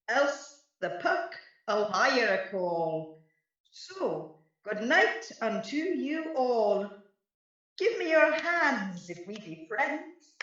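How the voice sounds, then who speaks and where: A woman's voice: sits high, a bit bright, full character voice. A woman playing a mischievous sprite in an amateur radio play, speaking rhyming Shakespearean verse theatrically. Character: Puck, mischievous sprite bidding the audience farewell. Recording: echoey, distant, slightly muffled recording.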